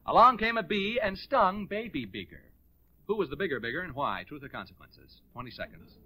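High-pitched, animated American radio quiz host. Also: male voice, brisk, a little hiss or hum. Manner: jocular, showman-like, addressing contestants and audience. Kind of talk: 1940s radio game show hosting.

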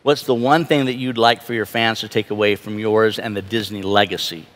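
A man's voice: on the low side, steady tone, a little fast, a bit bright. A middle-aged man hosting a live stage event with enthusiasm through a hall PA. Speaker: stage host. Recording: large hall PA, crowd behind.

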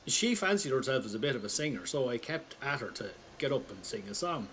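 An actor playing an older Irish pensioner, roguish and callous, telling a rambling, sweary, wry anecdote in a casual dramatic monologue. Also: male voice, medium pitch, very animated, a little fast, a bit bright, a little hiss or hum. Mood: wry, amused. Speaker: storyteller.

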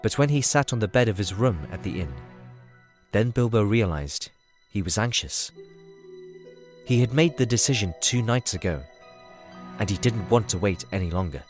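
A man's voice: lowish voice, animated, normal speed, faint music behind. A man narrating a scripted fantasy story as a storybook narrator.

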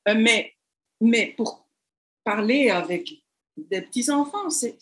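A woman's voice: on the high side, animated, takes it slowly. Hesitant woman lecturing, French not native. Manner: hesitant, many fillers and restarts. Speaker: university lecturer. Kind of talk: online lecture over slides.

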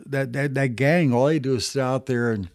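Male, 60s, veteran trucker host. Plainspoken, folksy storyteller, mid-range pitch, dry studio sound. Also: moderate pace, darkish tone, no echo. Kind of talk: casual storytelling and advice to camera. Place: small indoor home studio.

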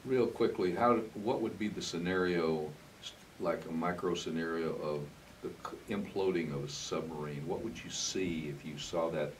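A low-voiced older man hosts a television interview, informal and feeling for his words as he asks. He is slightly off the microphone, with a faint hiss behind him. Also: moderate pace, distant mic. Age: older, 60s-70s. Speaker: interviewer, host. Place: indoor TV studio, green screen.

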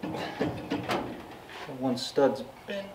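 A man talks casually while he works, thinking out loud. The sound is very roomy and picked up from a distance, with clear background noise of clanks and clicks. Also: mid-range voice, expressive, drawn-out words. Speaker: garage host. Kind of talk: casual chat while working. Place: garage workshop.

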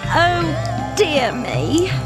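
A woman's voice: sits high, sing-song, very slow, heavily acted. A woman voicing a plaintive talking flower in a children's cartoon game. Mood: plaintive, aggrieved. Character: plaintive cockney flower girl, a talking plant. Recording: music underneath.

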